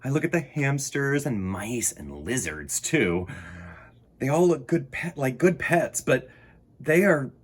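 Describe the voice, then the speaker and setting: A storybook boy played by a man tells his own tale with wide, swooping expression. The voice is husky and mid-pitched, with dramatic pauses that suit a children's read-aloud. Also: very animated. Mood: fond, reflective. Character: young boy narrator, wary then fond of his pet fish.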